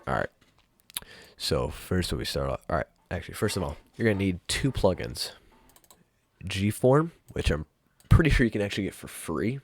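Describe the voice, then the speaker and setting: A young man hosting a casual software tutorial in an informal, rambling way. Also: low voice, big pitch swings, moderate pace, slightly rough. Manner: informal, rambling, mild swearing. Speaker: tutorial host. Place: room at home.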